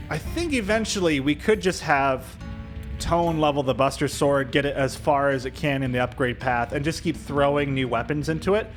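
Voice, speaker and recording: A man's voice: on the high side, fairly bright tone. A man giving casual video game commentary, thinking aloud as he weighs his options. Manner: thinking aloud, indecisive musing. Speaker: video game streamer doing a let's play. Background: video game music.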